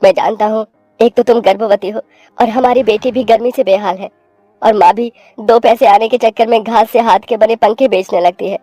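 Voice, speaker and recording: A man's voice: sits high, fairly even intonation, normal speed, dark tone, no room sound, soft background music, close to an everyday voice. A man voicing a weary, earnest poor young husband and father in a children's cartoon, humble and apologetic. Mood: ashamed, apologetic. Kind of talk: Hindi children's cartoon story voice acting.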